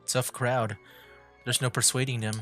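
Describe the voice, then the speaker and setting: A man's voice: medium pitch, little pitch movement, measured pace. A man doing casual, rambling livestream commentary on a game, with an amused manner. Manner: rambling, amused, given to chuckling. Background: faint game music.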